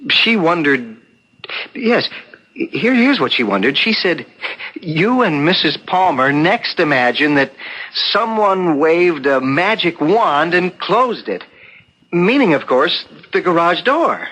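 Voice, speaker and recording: An actor playing a thoughtful writer in a 1970s radio drama, hesitant and deliberate as he muses aloud over a mystery. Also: a little high, sing-song, sharp tone, heavily acted, poor audio. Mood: puzzling aloud. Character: thoughtful male writer puzzling out a murder.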